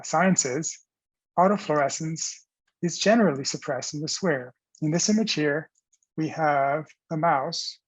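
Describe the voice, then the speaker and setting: A man narrates a scientific presentation in a husky, somewhat higher-pitched voice. He explains things step by step with halting pauses mid-phrase. The sound is very dry, with almost no room echo.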